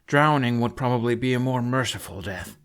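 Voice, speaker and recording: A man's voice: mid-range voice, fairly quick, a bit bright, smooth, lightly acted. A man voicing a resolute, strained young male archer in an audiobook, with a commanding manner. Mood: grave. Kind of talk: audiobook character voice.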